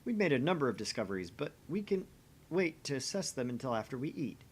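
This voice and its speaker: Casting: male game narrator, very animated intonation, scripted reading mixed with casual commentary. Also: mid-range voice, quick pace, slightly rough. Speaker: host and narrator of visual novel read-along.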